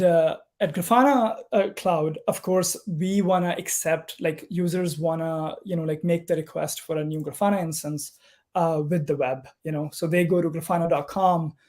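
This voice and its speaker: A man's voice: a little high, darkish tone. A man from Pakistan giving a technical conference talk over a video call, hesitant and full of ums and uhs. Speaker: conference speaker, software engineer.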